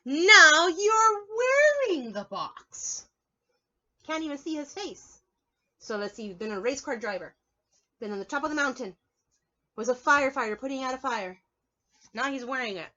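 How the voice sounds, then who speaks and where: A woman reads aloud as a preschool teacher, explaining as she goes and asking her young listeners questions. Her voice is highish and very bright, with an animated delivery. The recording is slightly dull and lo-fi. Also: brisk, pauses often.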